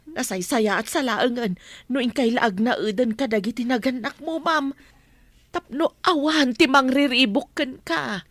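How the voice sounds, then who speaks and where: A woman's voice: sits high, a little slow, fairly bright tone, no echo. A woman with an Ilocano Filipino accent playing a loyal, protective, scolding household nanny in a radio drama. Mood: advising.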